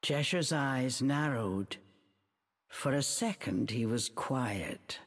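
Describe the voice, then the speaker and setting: A woman playing a storybook narrator in a video game, measured and dramatic. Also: very deep voice, lively intonation, breathy edge, long reverb tail, full character voice.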